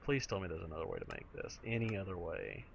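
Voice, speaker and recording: Casting note: male let's-player, raspy, a bit hoarse, low gravelly tone, dull lo-fi mic. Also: fast, a little hiss or hum. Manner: chatty, talks to himself while playing, excitable. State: slightly hoarse throat. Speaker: let's play gamer. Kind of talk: casual gameplay commentary.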